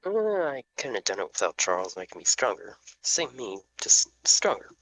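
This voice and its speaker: Man playing bright-voiced bold young team leader. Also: on the low side, big pitch swings, normal speed, dry recording, full character voice, low-quality recording. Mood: modest. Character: bold young male student team leader, fire fighter. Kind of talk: amateur online table read.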